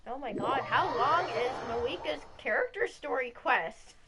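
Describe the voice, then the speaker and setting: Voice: high-pitched, expressive, measured pace. A streamer in their thirties from Canada, giving casual live commentary and reactions while playing a game. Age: 30s. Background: game music.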